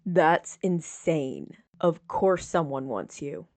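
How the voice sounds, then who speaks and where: A woman voicing an earnest, protective young man in an audiobook, soft and intent in manner. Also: deep voice, sing-song, slow, no echo, voice pushed down, full character voice. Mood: pleading, protective.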